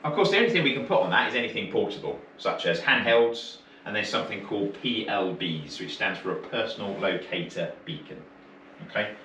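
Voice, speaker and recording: British guy giving an informal class, lowish voice, bit distant from the mic in an echoey room. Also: lively intonation, brisk, sharp tone. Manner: explanatory, checks understanding with short tag questions. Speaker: marine radio course instructor. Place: indoor training room. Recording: roomy, distant mic, faint noise.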